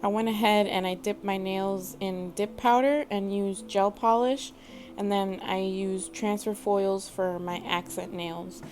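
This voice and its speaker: A woman hosting a nail tutorial, giving calm step-by-step instructions in a matter-of-fact voice-over. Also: medium pitch, measured pace, faint music behind.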